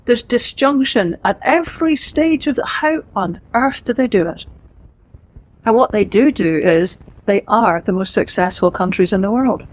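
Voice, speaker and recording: A woman's voice: medium pitch, moderate pace, low-quality recording. Emphatic Northern Irish woman giving a talk. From Northern Ireland. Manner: lively, emphatic and conversational, with asides. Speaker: author giving a book talk. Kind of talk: public talk to a live audience. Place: library event room.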